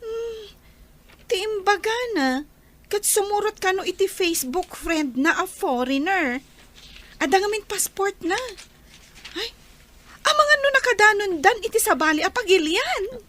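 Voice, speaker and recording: An actress in an Ilocano radio drama playing a mocking, giggly young gossip with an Ilocano accent and a drawn-out, teasing delivery. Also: female voice, extremely high pitch, lively intonation, fairly bright tone, full character voice. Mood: sly. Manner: drawn-out, teasing, breaks into laughter. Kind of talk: Filipino radio drama acting.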